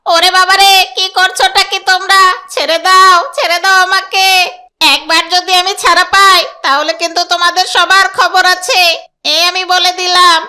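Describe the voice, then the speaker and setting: A woman's voice: very high voice, little pitch movement, moderate pace, bright, forceful tone, full character voice. A woman voicing a boastful, bullying fox in a children's cartoon, with a haughty, exclamatory delivery. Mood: panicked. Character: boastful, bullying fox who fancies himself a scholar. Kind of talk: Bengali children's cartoon voice acting.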